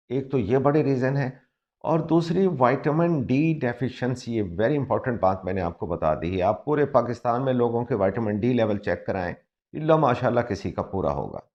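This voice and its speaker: Low-voiced doctor with a sore throat. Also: male voice, darkish tone. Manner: earnest, explanatory, switches into English for emphasis. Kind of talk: health advice talk to camera. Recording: muffled lo-fi recording.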